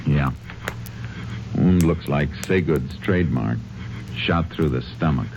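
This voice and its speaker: An actor playing a steady, seasoned Texas Ranger in a 1950s radio drama, speaking tersely and with authority. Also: male voice, extremely low pitch, takes it slowly, over loud music, heavily acted. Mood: grim, watchful. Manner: terse, authoritative, matter-of-fact. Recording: noisy, muffled 1950s radio recording.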